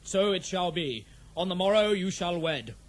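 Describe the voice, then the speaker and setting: A man's voice: high-pitched, lively intonation, moderate pace. A man playing a proud, noble warrior prince and loving father in a fantasy audio drama, with stately, declamatory speech. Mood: gracious, resigned.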